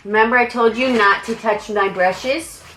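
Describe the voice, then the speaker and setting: A woman's voice: a little high, moderate pace, fairly bright tone, slight background noise, poor audio. A young woman and makeup artist who sounds nasal from a cold, chatting casually at home as she does her makeup, full of "like" fillers. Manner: rambling and casual, lots of "like" fillers. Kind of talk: chatty get-ready-with-me talk while doing makeup.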